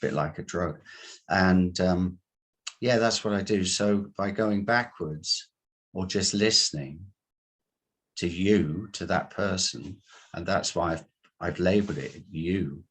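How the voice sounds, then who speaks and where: A man, a coach being interviewed, explaining his work in deliberate, halting phrases. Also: low voice, slightly rough. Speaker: guest, coach and hypnotherapist.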